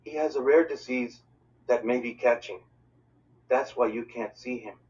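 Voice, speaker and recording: A man's voice: medium pitch, normal speed, darkish tone, close to an everyday voice. A man voicing a worried, stern mother in a storybook, speaking in a curt, guarded way. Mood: sad, hesitant. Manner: curt, evasive. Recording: distant mic, dull lo-fi sound.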